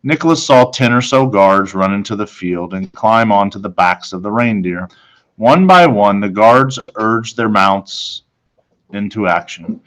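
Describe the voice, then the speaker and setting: A man reading a children's novel aloud expressively, with dramatic, deliberate phrasing. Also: lowish voice, a bit bright. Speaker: story reader, teacher.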